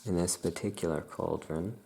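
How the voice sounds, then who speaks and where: A soft-spoken ASMR host in a calm, unhurried shopkeeper roleplay, leaving long pauses between phrases. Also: sits low, creaky, a little hiss or hum. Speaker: ASMR host playing a Salem witch shopkeeper.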